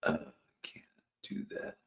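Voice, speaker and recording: A man's voice: takes it slowly, fairly bright tone, in a whisper. A man hosting a casual live chat show, losing his voice, rambling and reading out viewer comments. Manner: rambling, chatty, reads viewer comments aloud. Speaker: host.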